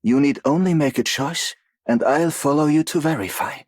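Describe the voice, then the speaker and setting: An actor playing a calm, analytical, loyal companion in a video game, speaking with composed precision. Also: male voice, fairly high pitch, normal speed, no echo, studio quality, close to an everyday voice. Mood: calm, assured. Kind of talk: scripted video game character voice line.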